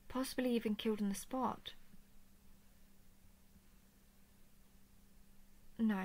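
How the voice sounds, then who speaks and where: A royal dragoness played by a woman speaks closely to her listener in an intimate audio drama. Her mid-pitched voice has plenty of creak. She is softly playful, tender and protective, with slightly formal phrasing. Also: close to an everyday voice. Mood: earnest, cautioning. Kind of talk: intimate scripted audio roleplay addressed to listener.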